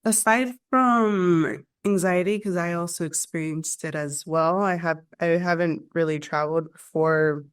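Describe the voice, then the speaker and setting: Thoughtful young woman, lowish voice. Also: a little slow, clear, resonant tone. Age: young adult. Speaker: community college student. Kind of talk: casual personal story.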